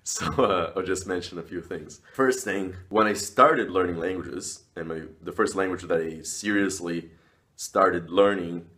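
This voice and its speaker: A man with a deep, low voice talks straight to his viewers in a language-learning vlog. He speaks in an earnest, measured way, often pausing on "uh" and "you know", his clear throughout. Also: a bit bright. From Brazil. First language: Portuguese. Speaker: language vlogger. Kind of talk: talking-head video advice.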